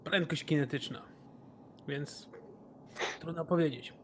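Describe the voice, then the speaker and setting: A man with a somewhat high voice, casually discussing ideas as a player in a tabletop game. There is some noise behind him. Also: slow pace. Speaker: role-playing game player. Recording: noticeable background noise.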